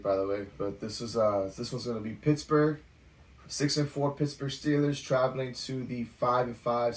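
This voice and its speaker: A man co-hosting a sports podcast, going over game matchups in a relaxed chatty way with lots of fillers. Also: fairly low pitch, distant mic, low-quality recording. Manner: relaxed, talks through stats with fillers. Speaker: podcast co-host. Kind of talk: casual sports podcast chat.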